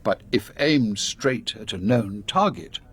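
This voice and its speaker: An actor playing an authoritative older British spy chief, brisk and ruthless, with clipped, formal delivery in a radio drama. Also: male voice, fairly low pitch, expressive, a bit bright. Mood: grave, resolute.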